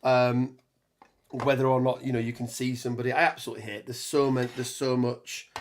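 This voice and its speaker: Man, hoarse from a cold, rambling live-stream chat, mid-pitched voice, lots of ums. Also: little pitch movement, a little fast, a little hiss or hum. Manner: rambling, many ums and restarts, reads chat aloud. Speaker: tabletop gaming streamer. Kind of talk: casual live stream chat while building terrain.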